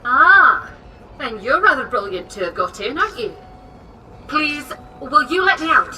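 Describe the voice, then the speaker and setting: An actress playing a brisk, eccentric captive woman scientist in a video game, bossy and chipper with a British accent. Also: high voice, very animated, bright, forceful tone, recorded from a distance, slight background noise, heavily acted, low-quality recording. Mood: bright, eager. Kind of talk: video game character acting.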